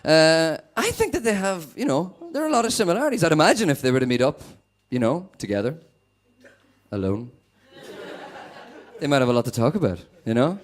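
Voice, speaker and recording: A young man from Northern Ireland with a Northern Irish accent, performing a stand-up set with a sweary, deadpan manner. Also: on the high side, a little fast. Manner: sweary, animated, deadpan asides. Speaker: stand-up comedian.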